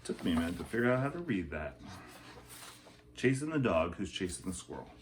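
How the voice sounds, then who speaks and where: A man teacher reading a picture book aloud to children, with expressive, emphatic delivery and softer, chatty asides. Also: low voice, fairly quick.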